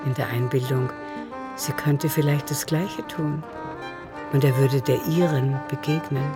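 Narrator, literary radio drama, composed storytelling, medium pitch, clear music bed underneath. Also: steady tone, a little slow.

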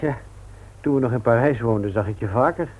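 An actor playing an earnest, reserved widowed father in a radio play, speaking in a hesitant, halting way. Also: mid-range voice, darkish tone, lightly acted. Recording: tinny old radio recording with hiss.

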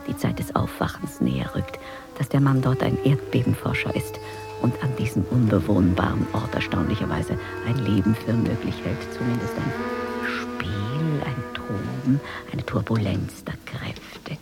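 Hushed, low-voiced dreaming woman. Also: huge pitch swings, gravelly, whispered, over loud music, full character voice. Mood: entranced, musing. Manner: hushed, deliberate, musing, with dramatic swings. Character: dreaming woman, wry, easily irked, narrating her dream. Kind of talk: intimate radio-play inner monologue.

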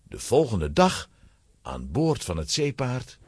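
A man's voice: medium pitch, huge pitch swings, slow pace, darkish tone, slight background noise. A man narrating a scripted children's adventure story in a dramatic style.

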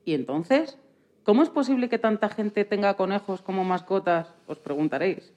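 A woman's voice: medium pitch, animated, normal speed, darkish tone. A woman reading scripted narration for a children's story as its narrator.

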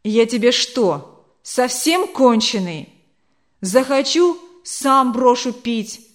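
A woman voicing a bitter, defiant alcoholic husband in an audiobook, with slow, emphatic, dramatic delivery. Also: a little high, expressive. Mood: angry, scornful.

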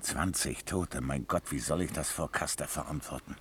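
An actor playing a dutiful, troubled cavalry major in a German radio play, speaking in a formal, stubborn military manner. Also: male voice, rumbling low, normal speed, fairly bright tone, breathy edge, heavily acted. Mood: shaken, defensive.

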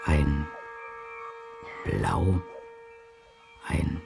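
A man reciting poetry in a measured, incantatory, deliberate way for a radio drama. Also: a bass voice, very animated, gravelly. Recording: music behind the voice. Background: loud music.

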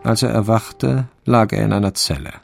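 An actor as a dry, deadpan male narrator of a crime radio play. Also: fairly low pitch, normal speed, gravelly. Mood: matter-of-fact. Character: dry, wry male storyteller of a crime tale. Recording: faint music behind the voice.

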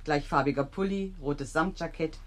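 An actress playing a dry, worldly police secretary, faintly amused, in a 1970s German radio crime drama. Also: female voice, sits low, moderate pace, close to an everyday voice. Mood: dryly amused. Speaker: actor.

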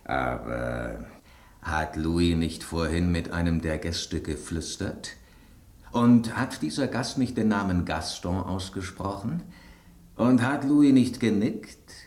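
An actor playing an inquisitive, brusque piece of bread in a German radio drama. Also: male voice, low voice, animated, slow pace, a little mellow, reverberant, full character voice. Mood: suspicious. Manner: inquisitive, brusque, rhetorical questioning. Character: bread on a restaurant table, gruff gossipy male detective type.

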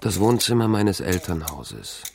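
An actor playing a brooding, haunted man in a radio drama, speaking in a measured, subdued way. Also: deep voice, sing-song, creaky, a crowd in the background, full character voice. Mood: brooding, reflective. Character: brooding man haunted by fragmentary childhood memories. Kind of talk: introspective radio drama monologue and dialogue.

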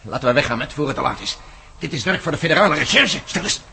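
An actor playing a nervous, cautious companion in a radio play, agitated and stammering with alarm. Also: male voice, a little high, animated, fast talker, gravelly, sharp tone, breathy edge, exaggerated voice. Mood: anxious. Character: nervous, cautious male companion, easily appalled. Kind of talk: Dutch radio play acting.

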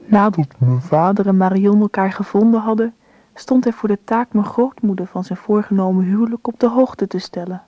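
A woman's voice: medium pitch, normal speed, dark tone, muffled recording, natural voice. An actress in a Dutch radio play voicing a composed grown daughter who narrates her childhood memories. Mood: reflective.

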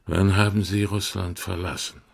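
An actor playing a weary, regretful old Russian poet in exile in a German radio drama, heavy-hearted and theatrical. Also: male voice, sits low, flat delivery, normal speed, gravelly. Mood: bitter regret.

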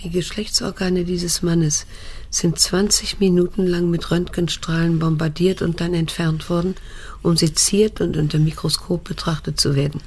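An actress playing a troubled, restrained adult daughter in a radio drama, speaking in a controlled, grave and insistent way. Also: female voice, fairly low pitch, steady tone, moderate pace, darkish tone, husky. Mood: grave, confronting. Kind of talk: radio drama acting with introspective narration.

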